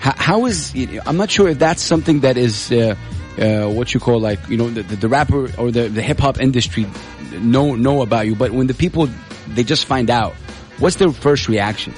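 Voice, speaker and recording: A man hosting a radio interview, speaking haltingly with many restarts. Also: mid-range voice, soft background music. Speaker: radio host.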